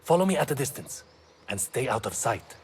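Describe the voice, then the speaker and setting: An actor playing a cautious steward and secret helper in a video game, guarded and urgent. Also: male voice, medium pitch, big pitch swings, brisk, a little mellow, a little hiss or hum.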